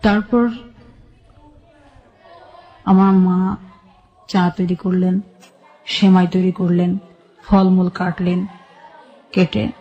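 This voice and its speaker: Radio guest, woman, Bangladeshi accent, relaxed mellow tone, halting first-person storytelling. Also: fairly low pitch, highs cut off. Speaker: guest telling her life story.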